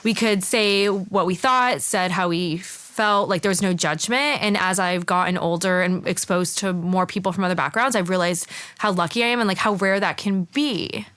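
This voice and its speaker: A young woman from Florida, a clothier appearing as a podcast guest, talking in a relaxed, chatty way and laughing easily. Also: lowish voice, moderate pace. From Florida, USA. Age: young adult. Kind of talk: casual interview chat.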